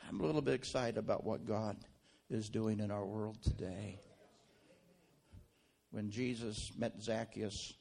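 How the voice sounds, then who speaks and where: A man preaching a sermon in a church. He tells stories quietly, then leads loud, fervent prayer. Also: fairly low pitch, slightly rough. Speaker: guest preacher.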